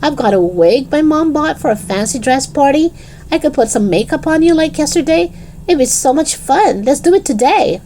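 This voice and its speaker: Woman voicing an eager, high-pitched schoolgirl. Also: lively intonation, normal speed, mellow, a little hiss or hum. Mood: eager, coaxing. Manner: enthusiastic, coaxing. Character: eager, persuasive schoolgirl, confident and playful.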